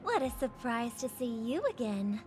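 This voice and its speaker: A woman's voice: a little high, expressive, normal speed, natural voice. An actress playing a mischievous young female trickster in a video game, teasing and smug with mock-dramatic delivery. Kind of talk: scripted game dialogue.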